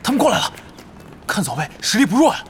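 A man's voice: high-pitched, big pitch swings, fast. An actor playing an eager male teammate who looks up to his team leader in an audio drama.

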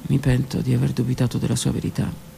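A woman reading her own literary text aloud on the radio, in a slow, intimate voice that often drops to a whisper. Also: rumbling low, little pitch movement, vocal fry, a little hiss or hum. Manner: slow, intimate, hushed delivery with whispered words. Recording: radio broadcast with faint music.

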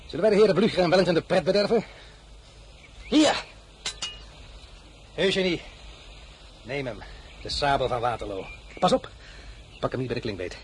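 An actor playing a proud, weary French emperor after his defeat, speaking in a measured, brooding way with flashes of bitterness. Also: medium pitch, a little hiss or hum. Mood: bitter. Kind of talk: radio play acting.

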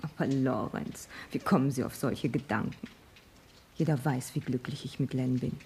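An actress in a German radio play, playing a weary, self-possessed vicar's wife with a guarded, dry manner. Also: female voice, rumbling low, moderate pace, creaky, darkish tone, slight background noise. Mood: earnest, firm. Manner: guarded, drily rebuffing.

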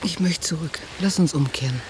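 A woman's voice: sits low, fairly quick, close to an everyday voice. An actress playing a reserved, reproachful girlfriend on holiday in a naturalistic radio drama. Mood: determined. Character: Anne, reluctant, headstrong girlfriend on holiday. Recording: noisy cassette tape of radio play.

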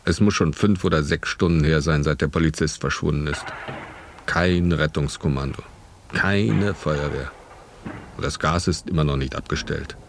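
Casting: exasperated, bewildered ordinary husband in audio drama, dry sarcasm, low gravelly voice. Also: male voice, moderate pace, a little hiss or hum. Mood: fearful, despairing. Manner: sardonic, exasperated. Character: bewildered, put-upon family man trapped guarding a gas leak. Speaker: actor narrating in first person. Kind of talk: dramatic first-person audio play monologue.

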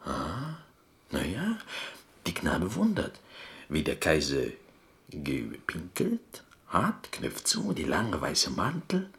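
An actor playing an earnest, excitable Greek prisoner in a radio drama, telling stories insistently in halting, broken speech with a Greek accent. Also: male voice, extremely low pitch, heavily acted. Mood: reverent, excited. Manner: halting broken German, insistent, half-whispered storytelling.

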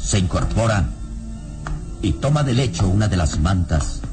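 A man as solemn storyteller narrates a radio adventure serial in a low voice. The phrasing is deliberate and dramatic, and prominent music plays loudly underneath. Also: expressive, lightly acted. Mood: solemn, suspenseful.

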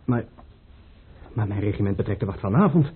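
Young prince for radio play: earnest, uneasy, solemn, strongly breathy-rough voice, old thin recording. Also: male voice, lowish voice, lively intonation, fairly quick, narrow, tinny audio. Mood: anxious. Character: young, earnest, anxious prince drawn into a conspiracy. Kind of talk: radio drama acting.

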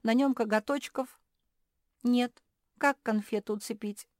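A woman's voice: on the high side, steady tone, a little slow, no room sound, clean studio sound, voice pushed up, natural voice. A woman voicing an impulsive, stubborn female pug in a children's story, emphatic and whiny. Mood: tearful, defensive.

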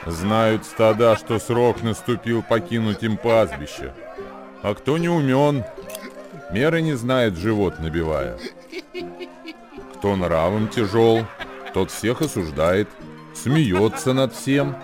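A man playing a worldly sage who recites old wisdom verse for radio in a measured, declamatory way. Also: fairly low pitch, lively intonation, a crowd in the background. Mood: wry counsel. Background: music.

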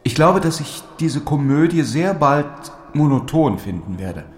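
An actor playing a sceptical, melancholy gentleman lord who objects formally in a literary audio drama. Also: fairly high pitch, big pitch swings, unhurried. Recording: roomy echo, faint background noise.

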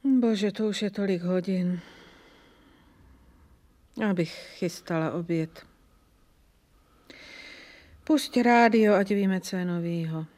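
A woman's voice: mid-range voice, long pauses, darkish tone, lightly acted. Housewife for radio play, female actor: bustling, matter-of-fact, average register. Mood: hurried. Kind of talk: naturalistic radio drama dialogue.

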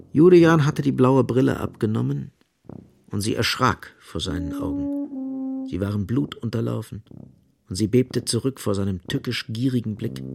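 Male narrator, radio play, gravelly lowish voice, punchy dramatic phrases, music behind. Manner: terse, emphatic phrasing.

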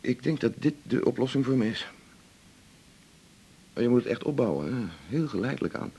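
A man's voice: mid-range voice, lively intonation, brisk, creaky, dark tone, breathy edge. A man acting a restless, self-absorbed doctor in a radio drama, musing and emphatic with a slightly theatrical touch. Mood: determined. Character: restless, self-absorbed male doctor, earnest about marathon running.

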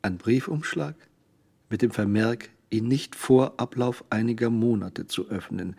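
An actor narrating a radio crime drama in a cool, sly, scripted manner. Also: male voice, medium pitch, a little slow, gravelly, a little mellow, husky. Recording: studio radio play with music.

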